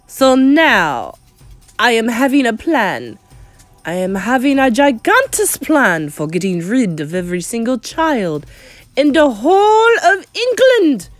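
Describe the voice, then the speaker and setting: A woman's voice: low-pitched, no echo, faint music behind. A woman voicing a tyrannical, venomous witch in a children's audiobook, screeching and thundering her commands. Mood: gloating command. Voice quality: screeching, harsh. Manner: thunderous, drawn-out, commanding, broken foreign-style grammar. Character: tyrannical, venomous Grand High Witch, female villain.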